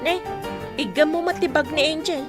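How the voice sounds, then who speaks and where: A woman's voice: high-pitched, moderate pace, close to an everyday voice. A woman playing a chatty, good-humoured village mother in a radio drama, with a folksy way of talking. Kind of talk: Ilocano radio drama acting. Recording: music under the voice.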